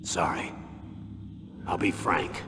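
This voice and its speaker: An actor playing a stern, persuasive ageing army colonel in a video game, grave and deliberate as he briefs. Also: deep voice. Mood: earnest, persuasive. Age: middle-aged. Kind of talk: video game cutscene voice acting. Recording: long reverb, clear background noise.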